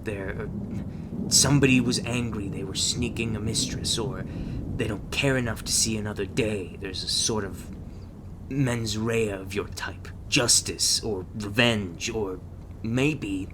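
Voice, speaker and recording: A man playing a gruff, emotionally distant veteran monster-hunting agent in an ASMR roleplay, speaking in a blunt, halting, sardonic way. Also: close to an everyday voice. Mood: stern, weary. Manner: blunt, halting, sardonic, sighing between thoughts. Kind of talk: ASMR roleplay, intimate one-sided dialogue. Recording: noisy, rumbling thunderstorm-like background sound.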